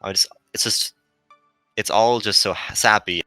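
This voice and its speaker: A man's voice: fairly low pitch, unhurried, bright, forceful tone, dry recording, faint music behind. A man streaming a casual read-along, chatting and joking informally.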